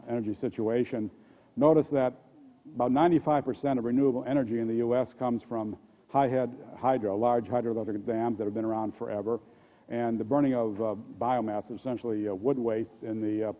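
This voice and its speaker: Halting older American man, dull audio. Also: mid-range voice. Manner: halting, repeats words and restarts often. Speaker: keynote speaker. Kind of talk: conference presentation. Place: conference hall stage at a podium. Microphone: podium microphones.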